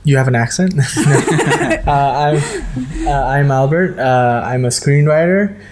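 Joking, laid-back young man from Indonesia, mid-pitched voice, casual podcast co-host. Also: very animated, measured pace, over a noisy crowd. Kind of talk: casual chat.

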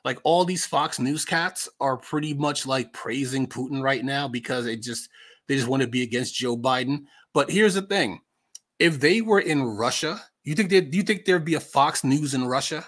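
A man's voice: mid-range voice, moderate pace, a bit bright. Opinionated American man, casual commentary. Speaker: podcast host.

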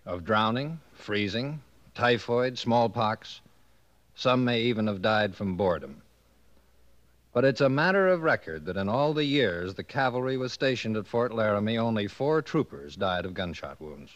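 A man narrating a 1950s radio western in a solemn, measured way. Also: lowish voice, expressive, no room sound, dull audio, natural voice. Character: solemn male narrator of an Old West cavalry drama. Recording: dry, band-limited 1950s radio recording. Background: music.

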